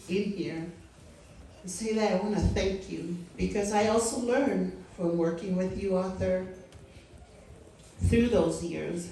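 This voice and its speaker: Slow, heartfelt woman in a hall. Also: on the low side, mellow, a little hiss or hum. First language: Samoan. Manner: slow, heartfelt, emphatic delivery. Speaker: community leader giving a tribute. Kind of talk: public tribute speech. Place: large echoey hall. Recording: echoey hall from far off.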